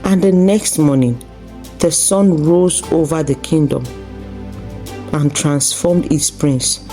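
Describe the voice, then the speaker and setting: Low-voiced, mellow woman storyteller. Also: slow, faint music behind. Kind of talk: scripted folktale narration.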